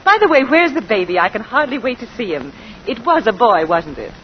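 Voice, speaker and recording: Sly, swooping high-voiced society sophisticate. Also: female voice, sing-song, brisk. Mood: gushing, sweet. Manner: breezy and arch, with mocking laughs. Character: worldly, teasing woman concert pianist, sly and sophisticated. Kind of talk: 1940s radio drama acting. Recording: muffled, noisy 1940s radio broadcast.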